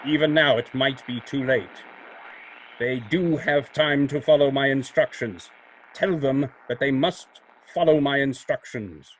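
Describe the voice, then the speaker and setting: A man playing a mysterious, coolly accusing vigilante with measured, formal, sardonic delivery in old-time radio drama style. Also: medium pitch, slight background noise, natural voice, poor audio. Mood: urgent.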